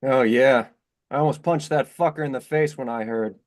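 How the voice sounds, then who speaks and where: An actor playing a blunt, well-meaning young husband in a virtual staged reading of a play. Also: male voice, mid-range voice, normal speed, close to an everyday voice. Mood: blunt, upbeat.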